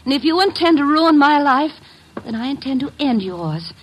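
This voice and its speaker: An actress in her twenties playing a hot-tempered young woman in 1940s radio drama style, cold and clipped with a husky voice. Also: sits high, big pitch swings, normal speed, background noise. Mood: menacing. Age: 20s.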